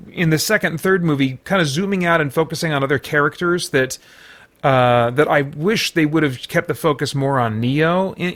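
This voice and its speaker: An American man hosting an unscripted movie review in a halting way, searching for words and letting thoughts trail off. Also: on the high side, a bit bright. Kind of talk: unscripted, off-the-cuff film review.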